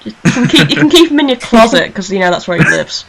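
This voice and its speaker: A woman's voice: a little high, sing-song, fast, gravelly, over a noisy crowd. A woman hosting a casual fan discussion over an internet call, informal and playful in how she talks. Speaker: online radio show host. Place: internet call recorded on a computer.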